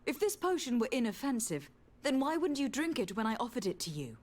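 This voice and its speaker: A woman's voice: fairly high pitch, sing-song, brisk, darkish tone. Stern, formal noblewoman envoy. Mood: reproachful, questioning. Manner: formal, composed, interrogating. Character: stern, principled noblewoman envoy, morally reproachful. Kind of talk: dramatic fantasy video game acting.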